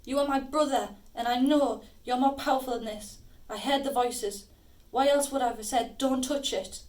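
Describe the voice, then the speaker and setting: A woman's voice: sits high, steady tone, normal speed, darkish tone, close to an everyday voice. A woman playing a worried but defiant sister in an audio drama, with an earnest, pleading delivery.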